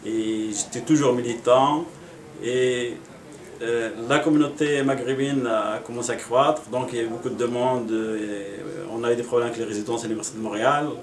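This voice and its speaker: A middle-aged man from Morocco, a municipal election candidate, answering interview questions in an earnest, measured way with frequent pauses. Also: mid-range voice, fairly even intonation. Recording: echoey room.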